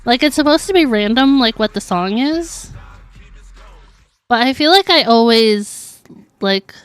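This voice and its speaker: A woman's voice: fairly high pitch, lively intonation, unhurried, smooth, faint music behind. A woman livestreaming a game, talking casually in a chatty, giggly, informal way. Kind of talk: casual live gaming commentary.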